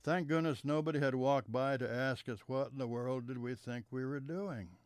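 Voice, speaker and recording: A man reading a childhood memoir aloud in a measured storytelling manner, letting sentences trail off softly at their ends. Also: mid-range voice, dry recording.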